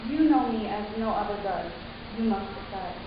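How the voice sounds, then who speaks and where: A woman playing a composed, protective alien matriarch in a dramatic story reading, speaking in a measured, formal, persuasive way. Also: mid-range voice, expressive, close to an everyday voice. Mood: probing, solemn. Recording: distant, very echoey room, muffled, hissy.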